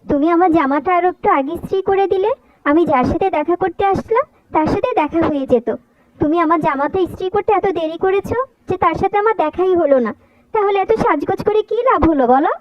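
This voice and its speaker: A woman's voice: very high voice, fast, no room sound, muffled recording. A woman voicing several characters in a dubbed cartoon, delivering scolding, quarrelsome lines.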